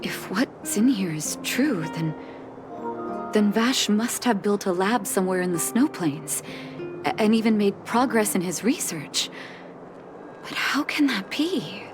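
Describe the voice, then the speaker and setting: A woman playing a grave, resolute young healer in a video game, measured and reflective as she grieves a lost brother. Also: mid-range voice, expressive, close to an everyday voice. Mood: troubled, resolute. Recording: game music behind the voice.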